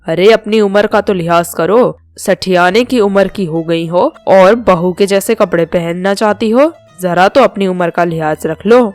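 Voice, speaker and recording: A woman's voice: medium pitch, measured pace, hardly any pauses, darkish tone, dry recording, soft background music, pitched below the performer's own voice, natural voice. A woman voicing a disapproving old husband, scolding and exasperated, in storybook dialogue. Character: grumbling, disapproving old husband and father-in-law.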